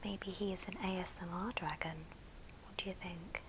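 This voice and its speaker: A woman whispering softly and breathily in a gentle, affectionate ASMR show and tell. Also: lowish voice, steady tone, normal speed, noisy background. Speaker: ASMR video host.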